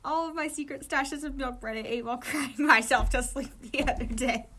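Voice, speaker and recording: A woman puts on an excitable teenage boy from a story, given to melodrama and whining. The voice is high and sharply bright. Words get stretched and exclaimed theatrically. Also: fairly quick, slight background noise. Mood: melodramatic despair. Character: dramatic, excitable, whiny teenage boy volleyball player.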